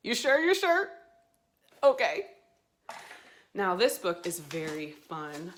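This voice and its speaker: Slow, echoey woman hosting children's storytime. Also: low voice. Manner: playful, simple, exaggerated expressive delivery for young children. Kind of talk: children's storytime, guided stretching and read-aloud.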